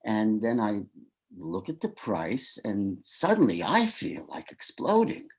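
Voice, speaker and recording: A man's voice: lowish voice, huge pitch swings, slow, slightly rough, heavily acted. An actor playing a shy, nervous man who stammers and coaches himself through small talk, in a stage comedy. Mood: flustered. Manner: flustered, stammering, self-coaching. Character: shy nervous man named Pete at a gallery. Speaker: actor in a one-act play. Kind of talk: stage comedy inner monologue. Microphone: video call.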